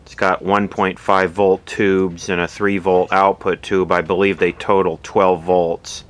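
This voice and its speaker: Low-voiced, slow-talking man, noisy recording. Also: flat delivery, fairly bright tone. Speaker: host of a vintage radio repair video. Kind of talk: casual unscripted demonstration narration. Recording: clearly audible background noise.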